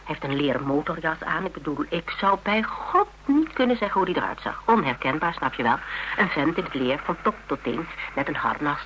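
A woman's voice: low-pitched, expressive, a little fast, a bit bright. An actress playing a spirited, teasing woman in a radio drama, telling things playfully and dramatically with self-mockery. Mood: playful, dramatic. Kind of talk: Dutch radio drama dialogue. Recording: hummy, noisy old radio recording.

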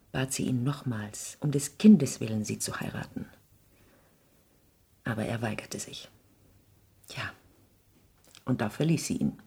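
An actress playing a grave, wounded, protective middle-aged mother, halting and solemn, in a 1960s German radio drama. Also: extremely low pitch, warm tone, breathy edge, heavily acted.

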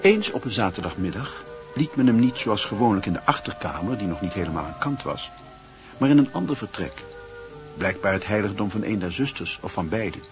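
A man's voice: lowish voice, expressive, a little fast, music in the background. A man narrating a literary radio play in a scripted storytelling style.

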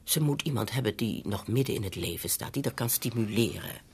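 A woman's voice: extremely low pitch, animated, brisk, darkish tone, a little hiss or hum. A woman playing a fretful, meddling older mother in a Dutch radio drama, hesitant and nagging as she coaxes. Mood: coaxing, worried. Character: fretful, meddling elderly bourgeois mother, scheming and shame-conscious.

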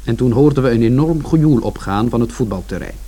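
An actor playing a reflective son who narrates a bleak family story in a radio drama. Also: male voice, medium pitch, expressive, fast talker, mellow, slight background noise, natural voice. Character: George, reflective younger son recalling his family's bleak Saturday. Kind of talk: scripted first-person narration in radio drama.

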